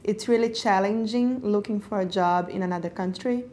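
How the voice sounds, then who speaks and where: A woman's voice: mid-range voice, normal speed, a little mellow. A woman from Brazil, interviewed about job hunting, speaking reflectively and searching for words with frequent fillers. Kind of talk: personal interview.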